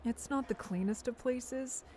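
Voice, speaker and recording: A woman's voice: a little high, measured pace, darkish tone, a little hiss or hum, natural voice. A woman playing an affectionate, teasing adult family friend in an audio drama, chatty and breezy.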